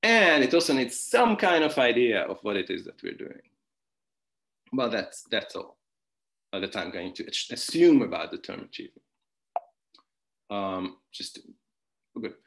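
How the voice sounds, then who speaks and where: A man's voice: mid-range voice, sing-song, a little slow, creaky, long pauses, fairly bright tone. A man whose first language is Hungarian, giving an online philosophy conference talk with many restarts, fillers and wry asides. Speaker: philosophy lecturer.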